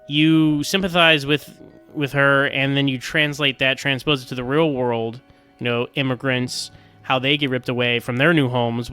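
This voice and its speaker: A man's voice: medium pitch, sharp tone, clear, resonant tone. A man giving casual, rambling episode commentary as a podcast host, often stammering over repeated words.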